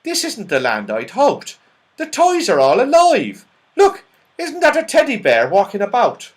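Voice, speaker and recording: An excitable, silly storybook fellow, acted out by a man, pitched high and swooping all over. He exclaims everything loudly, in a scatterbrained way. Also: a little slow, heavily acted. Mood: puzzled, eager.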